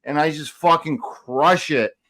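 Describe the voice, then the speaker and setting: A man's voice: a little high, measured pace, a bit bright. A man co-hosting a podcast in casual conversation, emphatic and repeating phrases for stress. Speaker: podcast co-host.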